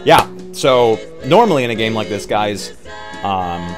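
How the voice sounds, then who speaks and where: A man with a fairly low voice talks casually as a livestream co-host. Faint music plays behind him as he chats. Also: animated, unhurried. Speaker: stream co-host.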